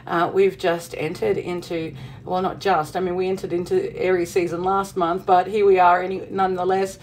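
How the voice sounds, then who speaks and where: A middle-aged woman with a slightly husky voice, chatting casually to viewers on her livestream from a cottage room, bubbly and full of fillers. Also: lowish voice, little pitch movement, moderate pace, a little mellow, a little hiss or hum. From Southern Hemisphere. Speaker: witchcraft livestream host. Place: witchy cottage room indoors.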